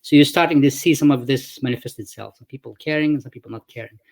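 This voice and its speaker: A man's voice: medium pitch, fast, vocal fry. A man from Morocco hosting a casual video-call interview, musing aloud and often tagging his points with "right?". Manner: thoughtful, rambling, frequent "right?" tags. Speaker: interviewer, company founder.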